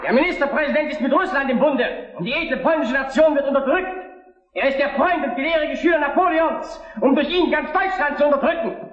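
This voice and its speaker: An actor playing an indignant opposition deputy, declaiming in a vintage historical drama. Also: male voice, very high-pitched, brisk, a bit bright, heavy reverb. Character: indignant opposition deputy, fiery parliamentary orator. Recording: dull old film soundtrack.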